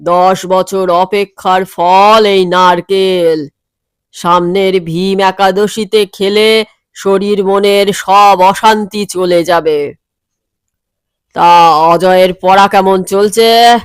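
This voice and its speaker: Woman putting on a slow, low-pitched stern father. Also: fairly even intonation, clear, resonant tone, dry recording. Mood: proud, solemn. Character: stern middle-aged father, gruff head of household. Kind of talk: character voice in a comic audio story.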